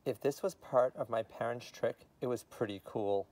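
A man's voice: medium pitch, normal speed, a little mellow. A man, reading a children's story aloud expressively. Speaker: read-aloud reader.

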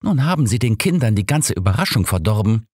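A man voicing a bossy, motherly woman in an audiobook, with a brisk and emphatic manner. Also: fairly low pitch, big pitch swings, darkish tone, breathy edge, no echo, studio quality, pitched above the performer's own voice. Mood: scolding. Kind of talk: audiobook character reading.

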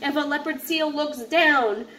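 A woman in her thirties reading a children's picture book aloud in a deliberate, instructive way, now and then stumbling over a word. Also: high voice, sharp tone. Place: home living area with kitchen, indoors. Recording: noisy home recording, room ambience.

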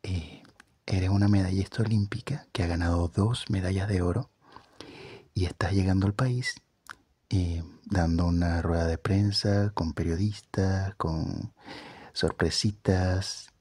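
A soft-spoken man in his thirties presenting ASMR, often repeating his words. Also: low voice, steady tone, unhurried, slightly rough, no echo. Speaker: ASMR channel host.

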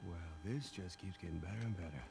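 Middle-aged American rogue, gravelly, deep-set voice, wry, talkative, action game dialogue, noisy ambience behind. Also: male voice, quick pace. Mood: wry, concerned. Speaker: video game character actor.

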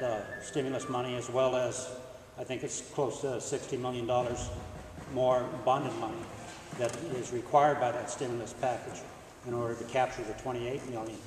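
A man from the audience asking a political candidate a question, halting and repeating words as he goes. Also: mid-range voice. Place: large school gymnasium. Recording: echoey gym from far off.

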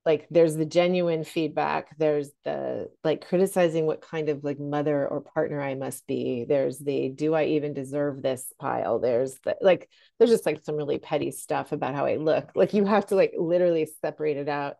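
A woman's voice: sits low, fairly even intonation, normal speed, gravelly, hardly any pauses, mellow. A middle-aged woman co-hosting a podcast over a video call, talking in a chatty way with lots of fillers. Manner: chatty, many fillers like "like" and "you know". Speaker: podcast co-host.

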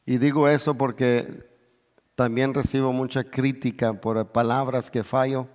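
A middle-aged man preaching in Spanish, with as his language, who peppers his sermon with hallelujahs, calls for amen, and swells toward near shouts. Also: medium pitch, level tone, a little slow. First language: English. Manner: moves about and gestures on stage, voice swells to near shouts. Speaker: pastor. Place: large church stage. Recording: long reverb of a big church hall.

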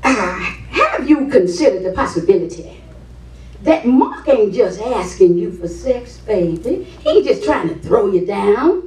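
A woman's voice: mid-range voice, big pitch swings, unhurried, darkish tone, far from the mic. A woman on stage playing a sassy old American grandmother who advises in a folksy way, repeating her words. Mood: bossy, advising. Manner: folksy, repeats words, calls people baby and sugar. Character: sassy old grandmother dispensing frank marriage advice. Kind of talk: comedic stage play acting. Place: indoor stage set in a hall. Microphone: distant camera. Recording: echoey stage, picked up from afar.

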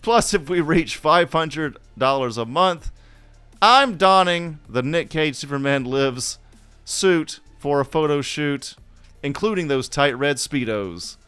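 A man's voice: a little high, expressive, slow, fairly bright tone, clear, resonant tone, dry recording, faint music behind. A man co-hosting a podcast, reading scripted narration. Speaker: podcast co-host.

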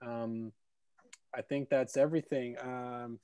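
A man's voice: medium pitch, steady tone, takes it slowly, dark tone, smooth, no room sound, clean studio sound. A man moderating a conference session over a video call, friendly and full of fillers, talking on an earphone mic at home. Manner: friendly, announcing, many fillers. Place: home room with bookshelves.

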